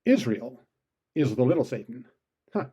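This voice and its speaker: A man's voice: mid-range voice, sing-song, normal speed, dark tone, husky. A man delivering an opinion commentary monologue, full of sardonic, sarcastic asides.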